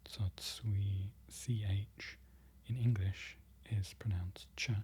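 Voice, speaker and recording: A presenter whispering an ASMR-style lesson, slowly repeating foreign words and correcting themselves. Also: deep voice, fairly even intonation, husky. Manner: whispering throughout, soft and close. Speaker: presenter learning Polish from a book.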